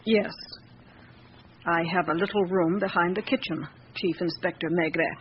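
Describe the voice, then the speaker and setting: An actress playing a guarded seventy-year-old housekeeper in a radio drama, giving curt, reticent, matter-of-fact answers. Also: female voice, fairly low pitch, steady tone, moderate pace, natural voice. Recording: dull lo-fi radio recording with clear hiss.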